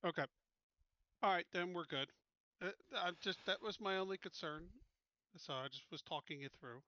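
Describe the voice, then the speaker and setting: A man's voice: high-pitched, moderate pace, sharp tone, dull audio. A man chatting casually as a tabletop game player, reasoning aloud in an informal way.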